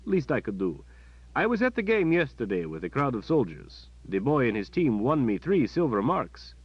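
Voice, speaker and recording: Man doing a bluff, good-hearted guard captain, pitched up and pushed louder, lively delivery; dull, dry studio sound. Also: moderate pace, husky, no room sound. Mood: friendly. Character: kindly gruff palace guard captain, man, middle-aged.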